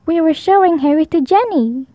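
A woman's voice: very high-pitched, lively intonation, normal speed, warm tone, no room sound, dull audio, pitched above the performer's own voice. A woman voicing an inquisitive, earnest little schoolboy twin in a storybook, speaking simply. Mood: sorry.

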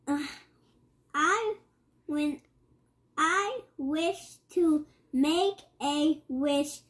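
A young child with a high voice reads a rhyming picture book aloud. The pace is extremely slow and halting, with words sounded out and often repeated. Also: a bit bright. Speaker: young reader.

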